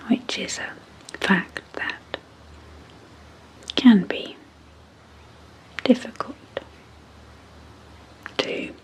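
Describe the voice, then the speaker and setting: A woman speaks in a soft, breathy near-whisper, on the low side. Her delivery is very slow and very even, with long pauses between short phrases, in a gentle ASMR hypnosis style. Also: rough recording. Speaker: psychologist hosting an ASMR channel. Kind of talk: soft-spoken ASMR sleep hypnosis introduction.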